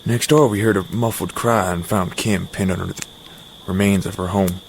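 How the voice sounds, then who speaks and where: An actor plays a disaster survivor telling a story of loss in an audio drama. His male voice is fairly low, with faint noise behind it. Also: fairly even intonation, moderate pace, low-quality recording. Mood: grave.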